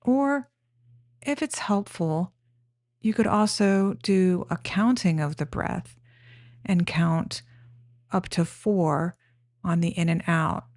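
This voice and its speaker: A woman leading a guided meditation, giving gentle, plain-spoken instructions in an unhurried way with pauses. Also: lowish voice, no echo, studio quality.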